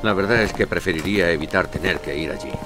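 An actor playing a gruff, commanding police commander in a Spanish radio crime drama, with a Castilian accent. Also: male voice, low voice, quick pace, over music, close to an everyday voice. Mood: businesslike.

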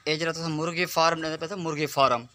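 A man's voice: on the high side, steady tone, fast talker, pushed and bright. A man in his thirties with a Saraiki accent, talking earnestly to his phone camera outdoors on a farm and giving advice to his friends. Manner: earnest, advising friends, repeats phrases. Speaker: vlogger. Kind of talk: casual vlog to camera. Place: outdoors on a farm beside palm trees. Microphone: phone held at arm's length. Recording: outdoor phone audio with background noise.

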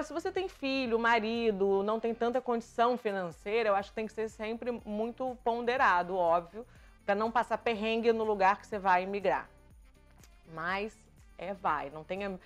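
A Brazilian woman in her thirties with a Carioca accent mixed with European Portuguese touches, chatting casually to the camera from her sofa as a vlogger, lively and gesturing as she talks. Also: a little high. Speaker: vlogger answering viewer questions. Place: bright living room, seated on a sofa.

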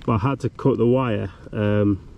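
A man's voice: lowish voice, fairly even intonation, normal speed, creaky, lo-fi sound. A young man chatting upbeat to camera while fishing on a windy riverbank, picked up by an action camera. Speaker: fishing vlogger. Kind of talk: casual outdoor commentary. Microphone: action camera on body or tripod.

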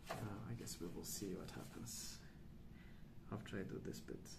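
A man speaking softly and hushed in an unhurried, halting ASMR ramble, often trailing off. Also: low-pitched. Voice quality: soft, hushed. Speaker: ASMR video host. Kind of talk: soft-spoken ASMR rambling. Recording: clear background noise.

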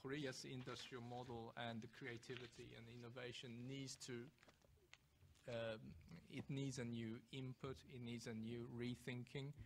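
A man with a Swedish accent speaks as a panelist, his voice gravelly with fry. He talks analytically and steadily, now and then restarting a phrase, into a table microphone in a lecture hall. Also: mid-range voice, little pitch movement, moderate pace, background noise. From Sweden. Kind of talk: panel discussion talk.

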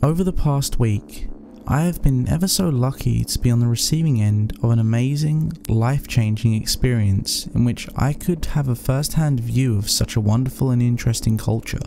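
A man playing a serene, devout student turned cult convert, formal and eerily calm in a scripted horror monologue. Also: medium pitch, normal speed, creaky, a little hiss or hum, lightly acted.

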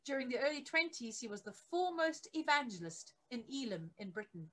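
A middle-aged woman reading a biography aloud as scripted narration, measured and with emphasis on key phrases. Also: sits high, animated, fairly bright tone, low-quality recording. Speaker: reader of a church history book. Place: attic home office, indoors.

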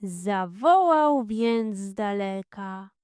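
Very slow, smooth woman storyteller. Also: mid-range voice, expressive, a little mellow, dry recording, studio quality. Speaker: children's story narrator. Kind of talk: calm scripted fairy tale narration.

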